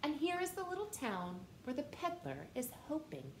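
Lively, slow-reading woman in an echoey room. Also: high voice, slight background noise. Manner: animated, sing-song, talks to young listeners. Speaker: storytime reader. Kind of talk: children's picture book read-aloud. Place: living room, on a couch. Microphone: camera in front at close range.

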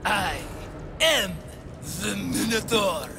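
A man's voice: sits high, expressive, very slow, creaky, music in the background, exaggerated voice. An actor playing a cowardly con man who poses theatrically as a monster in a comic video game, faltering and hammy. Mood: mock menacing.

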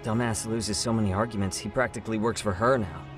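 A man's voice: on the low side, steady tone, fast talker, over music, lightly acted. An actor playing a casual, blunt young man, an irreverent sidekick in a video game drama.

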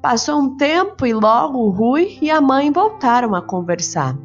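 Woman narrator, bedtime host, unhurried and calm, slightly muffled recording. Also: high-pitched. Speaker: bedtime-story podcast host. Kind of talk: slow storybook narration. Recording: slightly muffled with soft music.

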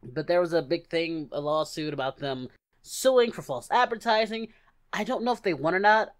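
A woman's voice: on the low side, moderate pace, close to an everyday voice. A woman voicing an upbeat young male cartoon fighter, rambling and exclaiming through casual reaction commentary. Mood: relaxed, chatty. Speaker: reaction video host.

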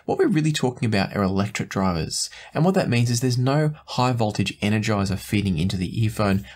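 Male reviewer, 40s, slightly low pitch, measured explanatory delivery to camera. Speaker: host, audio gear reviewer. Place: small indoor home studio room.

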